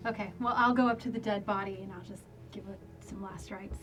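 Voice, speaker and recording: A woman's voice: medium pitch, fairly even intonation, a little fast, fairly bright tone, faint music behind. A woman playing in a tabletop role-playing game, speaking tentatively and laughing. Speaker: player. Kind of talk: casual tabletop play.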